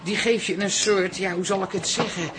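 An actress playing a simple, warm-hearted woman with a secret crush in a Dutch radio drama, folksy and halting. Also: medium pitch, steady tone, noisy background, lightly acted. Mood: tender.

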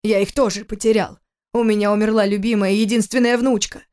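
A man's voice: sits high, little pitch movement, brisk, smooth, close to an everyday voice. A woman voicing a stern, angry older father who scolds his grown son reproachfully in an audiobook. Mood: grieving, reproachful. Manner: forceful, reproachful, rhetorical questions.

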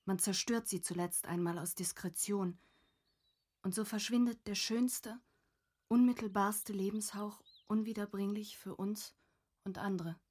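A woman as a wistful young diarist, mid-pitched. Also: fairly even intonation, a little slow, darkish tone, close to an everyday voice. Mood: reflective, wistful. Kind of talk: literary diary reading in a radio drama.

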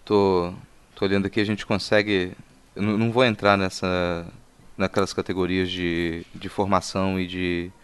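A man with a low, resonant voice hosts a casual interview. His phrasing is hesitant, circling back on words as he works toward a question. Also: flat delivery, moderate pace, clean tone. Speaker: podcast host.

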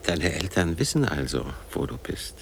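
Radio drama: gruff, tired man, low breathy voice, terse muttering, very varied intonation. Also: sing-song, normal speed, a little hiss or hum, exaggerated voice. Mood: gruff, weary. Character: gruff, weary grown man humoring a child.

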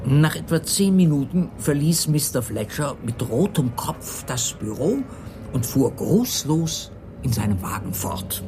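An actor playing a prim, dutiful sanatorium employee who speaks formally and fussily in a radio crime play. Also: male voice, medium pitch, very animated, unhurried, over music. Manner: formal, fussy, easily affronted.

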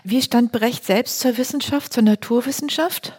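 A woman's voice: fairly high pitch, moderate pace, darkish tone, slightly rough. A woman hosting a radio-style interview, summarizing in an orderly way and guiding with questions. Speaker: host.

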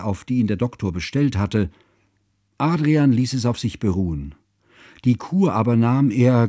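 An actor playing a cultured, devoted friend who narrates a literary radio drama in a measured, precise and formal way. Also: male voice, fairly low pitch, mellow, husky, dry recording, studio quality. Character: cultured middle-aged male narrator, devoted, bookish friend.

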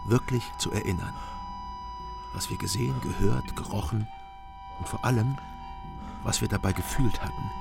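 An actor playing a brooding narrator who recalls his story in measured, grave radio drama style. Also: lowish voice, creaky, loud music behind the voice. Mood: grave, haunted.

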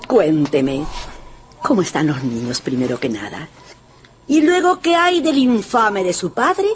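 Radio drama role: haughty old matriarch, mocking and bossy, slow, very animated. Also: female voice, on the low side, slight background noise. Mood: bossy, brisk. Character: imperious, scornful old Russian grandmother, rich and sharp-tongued.

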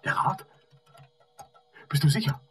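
A man's voice: unhurried, a bit bright, soft background music, natural voice. A man acting in a radio play as a harried, irritable doctor who snaps orders and asks terse, insistent questions. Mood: irritable, urgent. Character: harried, irritable male doctor, urgent and snappish. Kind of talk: German radio drama acting.

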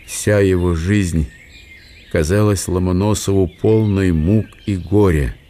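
A man's voice: sits low, steady tone, slow pace, mellow. A man reading scripted literary narration for a radio drama.

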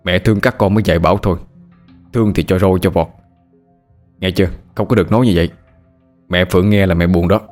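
Dad scolding his kid quickly, guy doing it, lower voice. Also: fairly even intonation, darkish tone, soft background music, natural voice. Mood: lecturing. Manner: hurried, lecturing. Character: father, gruff and dismissive.

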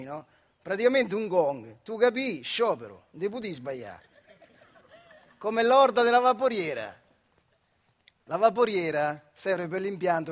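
A plain-spoken factory worker in a radio theatre monologue. His high voice carries a central Italian accent as he tells his story in animated, colloquial turns, proud and stubborn, tossing in rhetorical questions. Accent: central Italian dialect. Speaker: actor-narrator.

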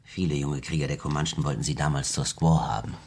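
Ein Schauspieler spielt im deutschen Hörspiel einen stillen, wissenden Gefährten im Wilden Westen, rau und wortkarg. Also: male voice, rumbling low, steady tone, fast, darkish tone. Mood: subdued, knowing. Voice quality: husky. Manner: terse, subdued. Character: quiet, knowing male companion in the Old West. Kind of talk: German radio drama acting.

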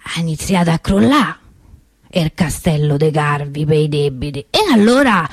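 A woman's voice: deep voice, lively intonation, moderate pace, breathy edge, no echo, clean studio sound. A woman playing a plain-spoken, cheated Roman street vendor in a theatre monologue, telling a story colloquially and hesitantly with frequent tag questions. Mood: sardonic.

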